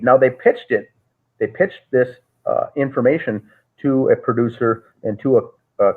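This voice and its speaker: Man, interview guest, rambling, lots of fillers, mid-pitched voice, thin phone-like sound. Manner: rambling, frequent "uh" fillers and restarts. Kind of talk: casual storytelling on a call. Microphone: call audio. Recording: tinny phone-like call audio.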